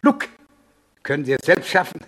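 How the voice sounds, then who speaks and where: An actor playing a pompous, outraged school headmaster in a German radio play. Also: male voice, a little high, sing-song, normal speed, fairly bright tone. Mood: stern, dismayed. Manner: pompous, indignant.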